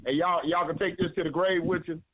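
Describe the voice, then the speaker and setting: Male radio host, high voice, loud and preachy, teasing the guests, dry phone-like sound. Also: fairly even intonation, fast, background noise. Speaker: show host. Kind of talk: casual radio chat.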